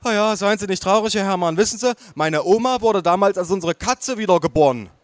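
Guy putting on a chatty nosy waitress, higher voice, chirpy and sentimental, story reading. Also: fairly quick, no echo, lightly acted. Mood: sympathetic, gushing.

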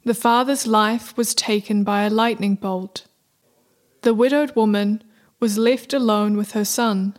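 A woman's voice: mid-range voice, fairly even intonation, a little slow. A woman narrator reading a scripted radio play in a storybook style. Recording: faint music under narration.

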